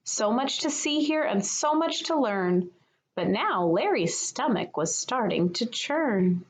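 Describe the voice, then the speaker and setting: A woman reads a picture book aloud for young children. Her voice sits fairly high and swings widely in pitch, with an unhurried, expressive teacherly delivery. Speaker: kindergarten teacher reading aloud.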